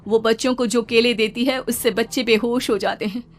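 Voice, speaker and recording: A woman as a calm ghost wife. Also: a little high, normal speed, natural voice. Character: gentle spirit of a dead village wife.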